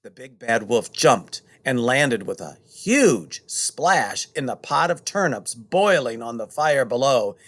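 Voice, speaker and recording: A middle-aged man narrating a children's story expressively, with deliberate, emphatic phrasing. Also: mid-range voice, very animated, no echo. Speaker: storybook reader.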